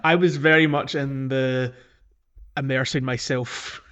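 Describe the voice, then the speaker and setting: A man's voice: medium pitch, steady tone, unhurried, sharp tone, clean tone, highs cut off. A man co-hosting a gaming podcast in casual conversation, jokey and given to chuckling. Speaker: podcast co-host.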